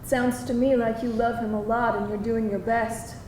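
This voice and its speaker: A woman's voice: fairly high pitch, level tone, normal speed, a little mellow, natural voice. A woman playing a kindly, patient fifty-year-old who offers reassurance, in a live screenplay table read. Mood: patient, encouraging. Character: patient, soft-spoken, kindly support-group member of fifty. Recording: roomy, distant live hall, faint noise.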